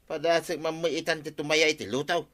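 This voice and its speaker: A man's voice: a little high, steady tone, fairly quick, a bit bright, close to an everyday voice. A man with an Ilocano accent playing a boastful village gambler who teases his friend, in an Ilocano radio comedy drama.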